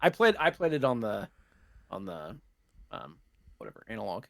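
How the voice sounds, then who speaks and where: A man in his thirties co-hosting a casual gaming chat podcast, talking in a halting way with trailing asides. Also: lowish voice, big pitch swings, creaky, fairly bright tone, no echo. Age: 30s. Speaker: podcast co-host.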